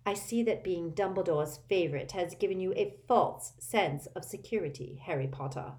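A haughty aristocratic witch from a storybook, icy and contemptuous in every word. She speaks with measured, chilling calm, as a woman reader acts her out. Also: lowish voice, close to an everyday voice. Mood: cold. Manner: cold, contemptuous.

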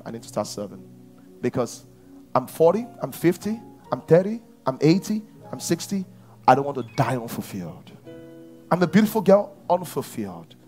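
A man preaching a sermon to his church, at times rising to shouts. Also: fairly high pitch. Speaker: pastor.

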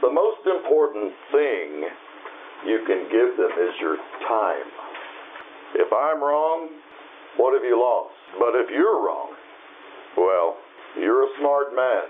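Tinny old radio play, guy doing a wise calm ghostly guide, pitch swings a lot, explains stuff patiently. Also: slow, long pauses. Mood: solemn. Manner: calm, explanatory, gently admonishing. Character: patient, wise male spirit guide showing visions. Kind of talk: old-time radio drama acting. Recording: tinny phone-like band, noisy radio sound.

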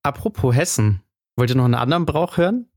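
A man's voice: medium pitch, animated, fast talker, darkish tone, dry recording, studio quality. A man chatting casually as a podcast co-host, telling things in an informal, conversational way.

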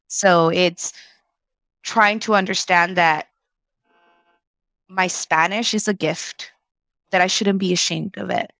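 Woman w a slight telling her life story, kinda low voice, dry close sound. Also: a bit bright, clear, resonant tone, no room sound. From Mexico. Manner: reflective, mixes Spanish into English. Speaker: guest. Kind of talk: personal storytelling interview.

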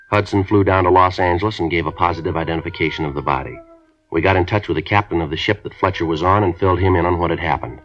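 An actor playing a police detective who narrates a 1950s radio drama in a clipped, matter-of-fact delivery. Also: male voice, low voice. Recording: muffled old radio broadcast.

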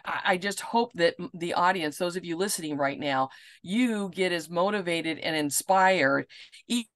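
A woman's voice: fairly low pitch, a bit bright, no echo. A woman hosting a podcast interview, effusive and enthusiastic when introducing her guest. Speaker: podcast host.

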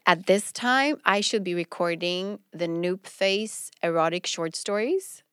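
A woman co-hosting a podcast in playful casual chat, her voice husky from a cold. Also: fairly low pitch, unhurried, fairly bright tone, no echo, studio quality. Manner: playful, chatty. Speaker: podcast co-host.

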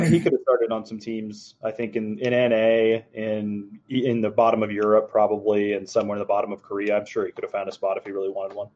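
A man appearing as a podcast guest, speaking in a measured, hedging way in casual chat. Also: lowish voice, little pitch movement, darkish tone. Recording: dull lo-fi line.

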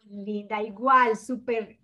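A woman's voice: mid-range voice, fairly even intonation, slow pace, bright, forceful tone. A woman hosting a podcast, greeting listeners and introducing her guest in an upbeat way. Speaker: podcast host.

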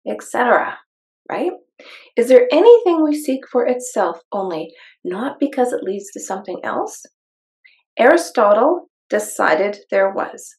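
A woman's voice: mid-range voice, darkish tone. A woman hosting a personal development show, reading from a book aloud and adding conversational commentary, with rhetorical questions and emphasized key phrases.

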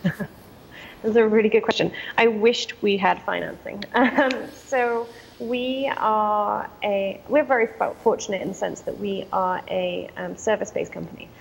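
English woman with a heavily gravelly voice. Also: mid-range voice, a little slow, slight background noise, low-quality recording. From England. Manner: conversational, frequent ums, occasional laughs. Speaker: guest, company founder. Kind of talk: casual interview answers.

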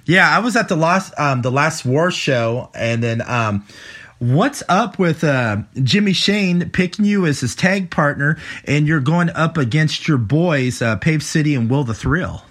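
A man's voice: on the high side, animated, measured pace, a bit bright. A man interviewing a wrestler in a casual chat, with hesitant questions full of "um" and "uh". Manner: hesitant, filler-heavy questions.

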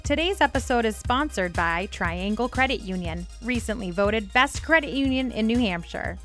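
Bright announcer woman over music. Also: a little high, lively intonation, normal speed. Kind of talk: scripted podcast intro read.

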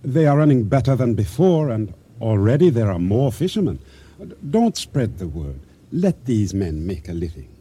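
A man's voice: fairly low pitch, big pitch swings, moderate pace, dark tone, husky. A man playing a guarded, earnest island trader in a radio drama, telling his tale and talking in grave, formal phrases. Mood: pleading. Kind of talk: radio drama narration and dialogue.

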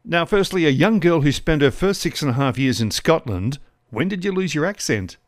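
A man's voice: on the high side, expressive, moderate pace, no room sound, studio quality. A man hosting a radio-nostalgia interview show, introducing his guest and asking questions. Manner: upbeat, scripted intro. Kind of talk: podcast interview.

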